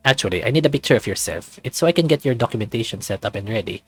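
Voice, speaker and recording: A man voicing a caring, gently bossy male lab colleague in a visual novel. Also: lowish voice, animated, very fast, slightly rough, no room sound, faint music behind.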